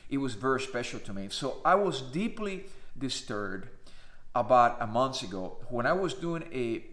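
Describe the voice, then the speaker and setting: A man's voice: medium pitch, big pitch swings, normal speed, fairly bright tone. Earnest Cuban-accented man in his fifties. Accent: Cuban Spanish. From Cuba. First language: Spanish. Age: 50s. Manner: heartfelt and emphatic, with frequent "uh" fillers. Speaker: pastor. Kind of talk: earnest personal testimony to camera. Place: indoors, in front of a flag.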